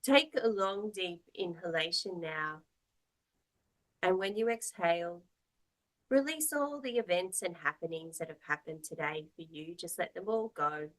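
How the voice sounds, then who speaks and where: A woman teaching yoga, guiding a meditation in a soothing, measured, gently instructive manner. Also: lowish voice, low-quality recording.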